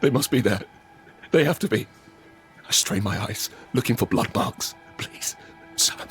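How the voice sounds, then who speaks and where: An actor playing a desperate, vengeful father who narrates his post-apocalyptic survival in an intense, brooding, deliberate manner. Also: mid-range voice, animated, a bit bright, faint music behind. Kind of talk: first-person scripted audio drama narration.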